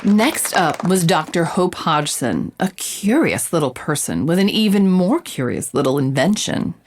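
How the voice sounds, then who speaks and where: Audio drama narrator: inquisitive woman reporter, lower-middle pitch, polished scene-setting delivery. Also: big pitch swings, a little slow, a crowd in the background, background noise. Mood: engaged, wry. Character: female roving reporter, curious, vivid storyteller. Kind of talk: scripted narration, news-report storytelling.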